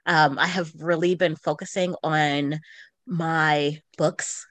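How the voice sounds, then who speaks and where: Female podcast co-host, lower-leaning voice, unhurried delivery, informal and giggly. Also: steady tone, dry recording. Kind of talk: casual chat about books and shows.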